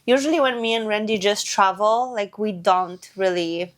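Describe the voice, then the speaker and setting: A young woman vlogger in casual chat, chatty and often laughing, with drawn-out filler words. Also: mid-range voice. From Poland.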